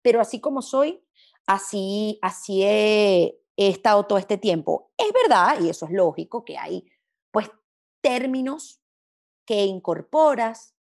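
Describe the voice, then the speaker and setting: A woman's voice: medium pitch, lively intonation, measured pace, a little mellow. A woman from Venezuela with a hard-to-place Latin American accent, talking reflectively and expressively as an interview guest. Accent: Spanish of mixed Latin American sound, hard to place. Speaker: interview guest, gastronomy journalist.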